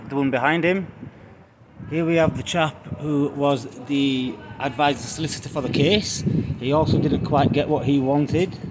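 British street vlogger in his thirties, high light voice, chatty and mocking, phone at arm's length outdoors. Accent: British English. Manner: chatty, addresses viewers as guys, mocking jabs. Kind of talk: walking selfie vlog chat. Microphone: phone held at arm's length. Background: faint street traffic.

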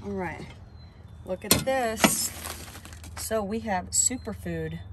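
A young woman vlogging at a campsite, talking in a chatty, excitable way with plenty of fillers. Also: mid-range voice, takes it slowly, very noisy. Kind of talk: casual camping vlog. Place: outdoor campsite beside a camper trailer. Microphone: camera on a tripod a few feet away.

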